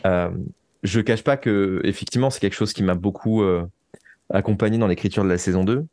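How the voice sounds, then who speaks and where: A man's voice: lowish voice, brisk, darkish tone, no echo, studio quality. A man speaking as an interview guest, a writer reflecting earnestly and often searching for his words.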